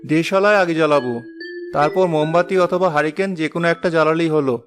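A man's voice: fairly high pitch, a little fast, music in the background, close to an everyday voice. A man voicing a humble, ordinary young villager in a fairy-tale cartoon. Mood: resigned.

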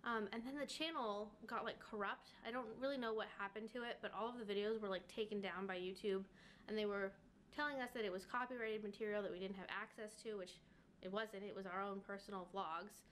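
A woman's voice: medium pitch, fairly even intonation, a little fast, fairly bright tone, slight background noise. A young woman in her twenties vlogging casually from her bedroom, chatting with lots of "like" and "um" fillers. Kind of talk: casual vlog talk. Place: bedroom, indoors.

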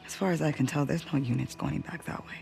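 A woman's voice: low voice, fast talker, vocal fry, darkish tone, soft background music. An actress playing a wary young female medic in a game, speaking in a guarded, blunt way. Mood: frustrated, guarded. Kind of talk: naturalistic game cutscene acting.

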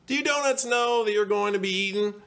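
A man's voice: a little high, wildly swooping pitch, normal speed, fairly bright tone, slightly rough, voice pushed up, exaggerated voice. A man playing a friendly, obliging baker in a children's story, with a cheerful singsong manner.

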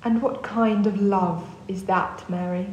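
Dull-sounding, echoey, measured matron, acted by a woman. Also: medium pitch, fairly even intonation, a little mellow, close to an everyday voice. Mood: measured, probing. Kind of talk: amateur radio play acting. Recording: dull, echoey, faintly noisy.

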